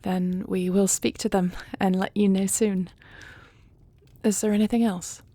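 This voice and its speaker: A woman playing a dutiful, gracious dragon-shifter queen in a fantasy audio roleplay, speaking in formal, deliberate phrases. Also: medium pitch, level tone, dark tone, no room sound, natural voice. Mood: formal, measured.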